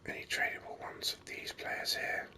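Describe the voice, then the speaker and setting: A person hosting a gaming video in soft, unhurried ASMR whispers, musing over the game as they go, close to the microphone.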